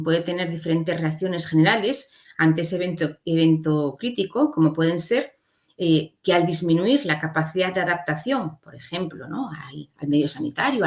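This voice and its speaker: A woman from giving an explanatory slide lecture as a conference speaker, often adding short checking tags. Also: low-pitched.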